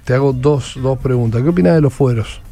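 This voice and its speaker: A man's voice: medium pitch, a little fast, creaky, dark tone, faint music behind. A man with an Argentine Spanish accent hosting an interview, asking blunt and colloquial questions. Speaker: interviewer host. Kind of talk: casual interview.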